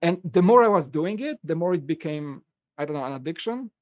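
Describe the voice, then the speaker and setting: Polish accent guy on a podcast, kinda high voice, dry close sound, animated storyteller. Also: fairly quick, no echo. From Poland. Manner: animated storytelling, acts out quotes. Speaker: guest. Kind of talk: casual podcast interview.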